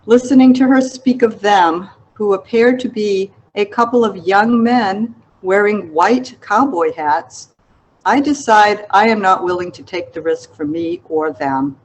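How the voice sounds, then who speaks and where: A woman reads from her own literary memoir at a slow pace. Her voice sits at an average pitch for a woman. The delivery is deliberate and reflective, as an author presenting an excerpt. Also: poor audio. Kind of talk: literary memoir narration, read aloud.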